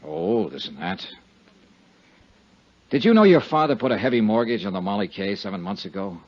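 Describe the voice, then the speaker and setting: An actor with an American accent playing a shrewd, wry, clipped insurance investigator in a 1950s radio detective drama. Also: male voice, lowish voice, big pitch swings, normal speed. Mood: wry, probing. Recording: muffled, noisy 1950s radio recording.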